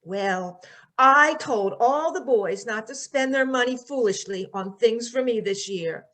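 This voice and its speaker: Actress as boy-crazy, scatterbrained girl for vintage radio comedy; high pitch, gushing run-on delivery. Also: a little slow, a bit bright. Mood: earnest, chirpy. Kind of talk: 1940s radio comedy acting.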